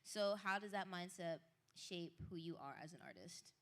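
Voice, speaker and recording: A woman hosting a live concert stage, interviewing a guest and giving shout-outs in a chatty, upbeat way full of fillers and apologies. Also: on the low side, normal speed. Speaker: host. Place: live stage at an indoor market venue. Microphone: handheld stage mic.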